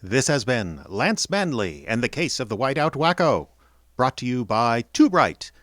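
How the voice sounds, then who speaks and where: Male radio announcer with lively intonation. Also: medium pitch, measured pace, no echo, studio quality, soft background music. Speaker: announcer and host.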